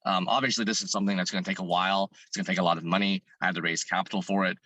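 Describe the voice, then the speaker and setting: A hoarse man answers an interviewer earnestly, working his thoughts out aloud with frequent restarts. His voice is bright and forward despite the hoarseness, recorded dry and close with no room sound. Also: deep voice, little pitch movement, rapid-fire, dry recording. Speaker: fitness content creator and business owner. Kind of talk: interview guest answering questions.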